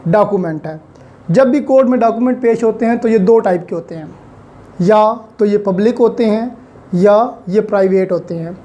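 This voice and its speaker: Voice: mid-range voice, dark tone. A law lecturer with a Pakistani accent explains in a didactic way, repeating key points and mixing in English legal terms.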